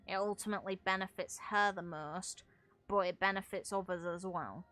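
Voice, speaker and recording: A woman's voice: mid-range voice, steady tone, moderate pace, fairly bright tone, soft background music. A woman giving casual, opinionated video game commentary as a streamer, with some casual swearing.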